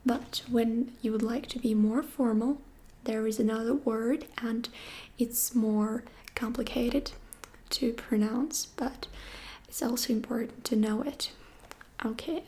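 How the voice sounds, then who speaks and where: Slow whispering woman teaching phrases. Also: fairly high pitch, fairly even intonation, low-quality recording. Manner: soft whisper, slow repetitions. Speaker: language teacher. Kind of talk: whispered ASMR lesson.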